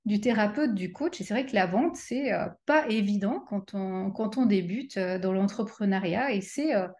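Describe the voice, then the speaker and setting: A woman's voice: mid-range voice, normal speed, darkish tone. A middle-aged woman hosting a casual interview, speaking with many fillers and restarts. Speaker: interview host and coach.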